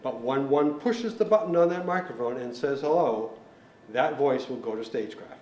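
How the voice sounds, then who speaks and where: A man's voice: medium pitch, lively intonation, moderate pace, darkish tone. A man giving a hands-on equipment tutorial as a technician, explaining things in a methodical, plain-spoken way. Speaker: technician instructor. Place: large hall. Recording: roomy echo, distant mic, faint noise.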